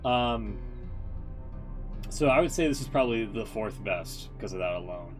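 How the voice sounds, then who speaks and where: A man livestreaming casual, rambling commentary on a video game, opinionated, slangy and quick to swear. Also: on the low side, expressive, faint music behind.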